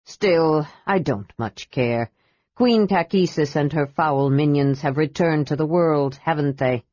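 A woman voicing a grave, wise old male gold dragon who speaks slowly and sternly. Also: deep voice, dark tone, no echo, lo-fi sound, pitched below the performer's own voice. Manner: stern, grave, slow and measured.

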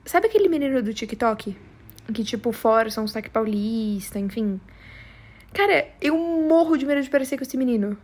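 Young São Paulo woman, husky higher voice. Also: expressive, normal speed, darkish tone. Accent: strong São Paulo Brazilian. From São Paulo, Brazil. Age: young adult. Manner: rambling, slangy, emphatic, repeats herself for emphasis. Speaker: podcast host. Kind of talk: casual confessional chat.

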